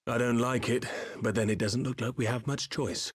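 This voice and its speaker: Casting: male actor for earnest fantasy-game engineer hero; medium pitch, dry recording. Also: steady tone, normal speed, husky, dry recording, natural voice. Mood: wary, resolute. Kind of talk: video game cutscene acting.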